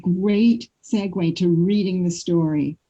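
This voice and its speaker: A woman's voice: lowish voice, takes it slowly, warm tone, husky, highs cut off. A woman interviewer asking prepared questions in the manner of a host.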